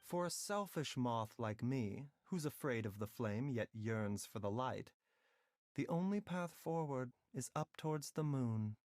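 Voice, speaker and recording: A man playing a gentle, philosophical young doctor in a video game, with measured, articulate and reflective delivery. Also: medium pitch, expressive, no echo, natural voice. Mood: calm, persuasive. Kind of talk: scripted video game voice acting. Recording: music playing behind the voice.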